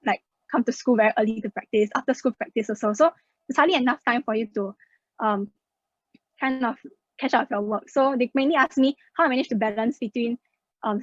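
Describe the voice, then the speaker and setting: A woman's voice: fairly high pitch, dry recording. A young woman presents slides about a scholarship in a casual, explanatory way, with plenty of "uh" and "like". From Singapore. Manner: chatty, many fillers like "uh" and "like". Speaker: scholarship student sharing her experience. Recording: dull, dry lo-fi sound.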